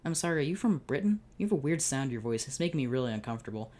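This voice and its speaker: A woman's voice: very deep voice, lively intonation, racing, mellow. A woman voicing a curious, blunt young boy who mocks the people he talks to.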